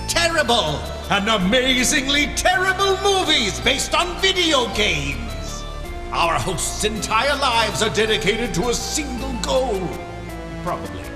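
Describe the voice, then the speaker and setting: A man delivering a scripted show intro as a grandiose, mock-epic announcer with dramatic emphasis. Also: high voice. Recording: echoing hall reverb over loud music. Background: loud intro music.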